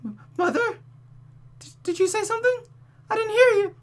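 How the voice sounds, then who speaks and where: A woman's voice: very high-pitched, lively intonation, moderate pace, pitched above the performer's own voice. A woman voicing a desperate, sobbing young woman who pleads and stammers, read from a visual novel. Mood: pleading, sobbing. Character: Rosa, sobbing desperate young woman devoted to cruel Mother. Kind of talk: visual novel character reading.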